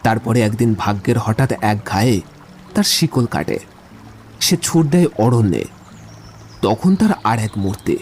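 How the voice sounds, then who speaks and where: Man acting an eloquent, romantic young lover, swooping pitch. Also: lively intonation, measured pace, pauses often, darkish tone, a little hiss or hum. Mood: earnest pleading. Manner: declamatory, wordy, lyrical. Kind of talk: Bengali literary audio drama acting.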